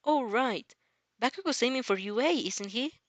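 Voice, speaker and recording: A woman's voice: a little high, lively intonation, moderate pace, dry recording, voice pushed up, natural voice. Woman voicing a weary, easygoing male teacher. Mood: casual. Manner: sighing, informal. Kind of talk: amateur audio fanfic acting.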